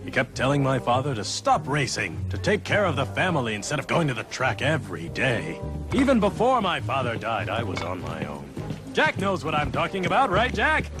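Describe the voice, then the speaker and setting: An actor playing a slick, gleefully cruel racing TV host in a video game, with theatrical, smug announcer delivery. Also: male voice, mid-range voice, huge pitch swings, a little fast. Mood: cynical, cool. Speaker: race commentator. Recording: music behind the voice.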